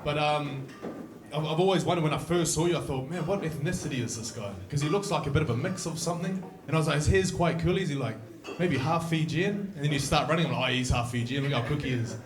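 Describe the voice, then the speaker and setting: A man, a podcast co-host with loud, jokey, matey banter. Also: fairly high pitch, quick pace, reverberant, crowd noise. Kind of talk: casual banter.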